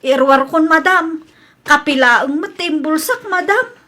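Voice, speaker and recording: A woman's voice: high-pitched, lively intonation, a little slow, sharp tone, full character voice. A woman acting a flustered, evasive and deferential shop employee in an audio comedy drama. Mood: nervous, pleading.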